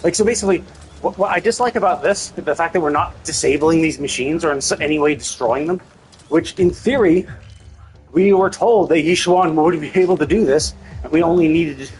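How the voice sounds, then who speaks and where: A man's voice: a little high, moderate pace, slight background noise, low-quality recording. A man giving casual, opinionated game commentary on a livestream, swearing freely as he talks. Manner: profane, opinionated, mockingly paraphrases characters.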